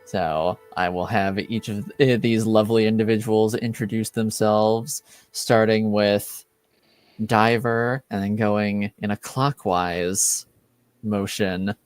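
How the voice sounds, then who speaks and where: A man speaking as game master and host of a tabletop game stream, with a halting, deliberate delivery. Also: lowish voice, fairly even intonation, clear, resonant tone, dry recording, soft background music.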